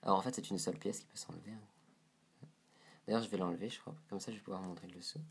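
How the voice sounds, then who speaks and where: A man's voice: low voice, steady tone, fast talker, creaky, slight background noise. A man with a head cold and a stuffy nose, reviewing a doll to camera in a casual, hesitant way, describing details as he handles it.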